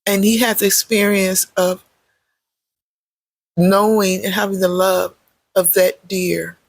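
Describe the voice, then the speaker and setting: A meditation guide speaks very slowly in a creaky, husky voice of middling pitch. The phrases are short and gentle, with space left between them. The sound is dry and close, suited to guided relaxation. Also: level tone, no room sound. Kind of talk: guided meditation, unscripted spoken guidance.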